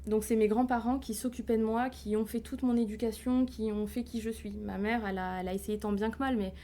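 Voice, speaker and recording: A woman in her late twenties talks through her story on a live stream with a warm, dark tone. She is chatty and a little hesitant, and faint background noise sits under her voice. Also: on the high side, fairly even intonation, a little fast. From France. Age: 20s. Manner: chatty, laughs often, hesitant fillers. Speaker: guest. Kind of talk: casual interview, telling her life story.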